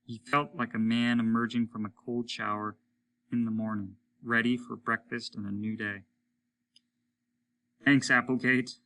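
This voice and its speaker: A man reading a short story aloud as dramatic narration, measured and solemn. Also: lowish voice, flat delivery, fairly bright tone, a little hiss or hum, poor audio. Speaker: audiobook reader, teacher.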